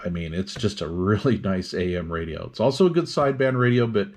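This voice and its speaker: A man's voice: low-pitched, lively intonation, fairly quick, darkish tone. A man reviewing radio equipment in a casual, hands-on demonstration, explaining things step by step without hurry.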